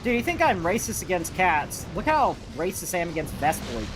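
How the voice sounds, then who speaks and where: A man's voice: high-pitched, big pitch swings, brisk, music in the background, rough recording. A young man with a youthful-sounding voice, chatting casually and rambling as he livestreams a video game, informal and free with swearing. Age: young adult. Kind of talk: casual gaming commentary and chat.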